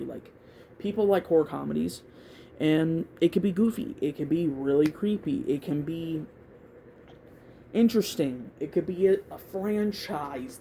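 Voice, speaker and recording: Casual vlogger, androgynous, soft mellow tone, halting and repetitive, some background noise. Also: medium pitch. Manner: hesitant, repeats words, thinking aloud. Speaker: YouTube video creator giving opinions. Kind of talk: casual rambling opinion talk to camera.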